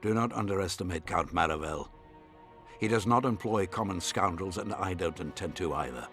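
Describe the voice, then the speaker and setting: An actor playing a haughty, scheming nobleman in a video game, speaking in a formal, imperious and measured manner. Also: deep voice, a bit bright, soft background music. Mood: measured, conspiratorial.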